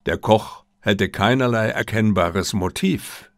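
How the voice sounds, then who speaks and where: A man reading the part of a confident, gently mocking detective with an assured, lecturing manner in an audiobook. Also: lowish voice, takes it slowly, gravelly, no echo, studio quality. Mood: dry, lecturing.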